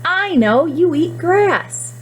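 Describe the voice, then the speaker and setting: Eager storybook child, read by a woman, animated pitch. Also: slow pace, soft background music, heavily acted. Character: eager young village children answering a rabbit.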